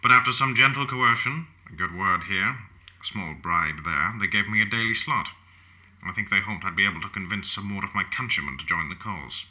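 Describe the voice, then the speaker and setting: An actor playing an aging, self-assured fascist poet in an audio play, genteel and formal in manner. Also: male voice, sits low, a little fast, pushed and bright, poor audio. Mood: reminiscing.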